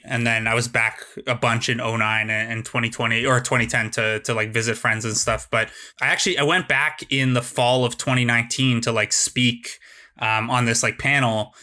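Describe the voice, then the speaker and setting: A man's voice: medium pitch, steady tone, normal speed, bright, forceful tone. A man, chatting casually and asking questions as a podcast host. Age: 30s. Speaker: podcast host, sports journalist. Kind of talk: casual chat, interviewing.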